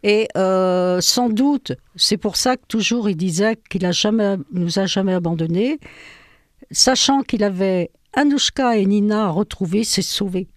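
A woman's voice: mid-range voice, measured pace, no room sound. Older woman, Ukrainian native speaker, hesitant with many fillers, dry close sound. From France. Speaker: guest. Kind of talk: personal testimony in an interview.

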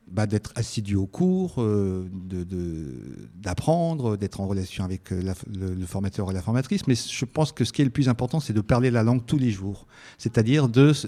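A man whose first language is Italian, answering interview questions thoughtfully with frequent pauses and restarts. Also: mid-range voice, dark tone. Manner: thoughtful, hesitant, many restarts.

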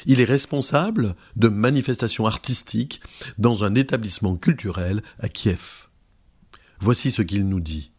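A man hosting a radio program, introducing guests and reading their words in a slow, measured way with occasional hesitations. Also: lowish voice, slightly rough. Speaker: radio host. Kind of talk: radio presentation, reading translated testimonies.

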